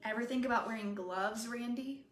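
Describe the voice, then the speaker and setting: A woman reading the part of a spirited, caring teenage girlfriend, teasing and unhurried, in an echoey room. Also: fairly high pitch, a little hiss or hum, close to an everyday voice. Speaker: reader.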